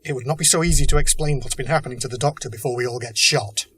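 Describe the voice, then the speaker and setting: Audio drama resistance leader, played by a man: grave, principled, humane, medium-pitched, earnest delivery. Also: quick pace, fairly bright tone, slight background noise, lightly acted. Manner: earnest, serious.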